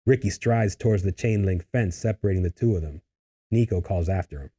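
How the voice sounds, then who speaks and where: A man's voice: low voice, brisk, warm tone, breathy edge. A man reading stage directions as scripted narration for a table read.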